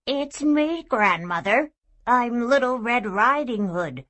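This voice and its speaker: An actor playing a sly, greedy big bad wolf in a children's fairy tale, with a raspy growl and drawn-out, menacing wheedling. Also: mid-range voice, expressive, fairly bright tone. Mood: falsely sweet.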